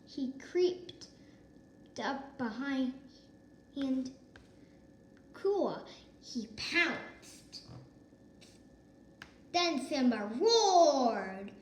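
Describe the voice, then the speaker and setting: A woman reading a children's story aloud with deliberate delivery and occasional corrected stumbles, in an echoey room. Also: sits high. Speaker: storybook reader. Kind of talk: children's read-aloud narration. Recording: echoey room with faint noise.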